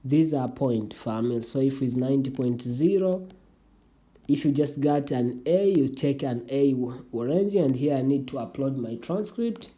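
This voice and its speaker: A young adult speaker whose first language is Swahili, giving a halting, casual walkthrough of an online application, with many restarts and calling viewers "family". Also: mid-range voice, warm tone. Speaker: tutorial vlogger.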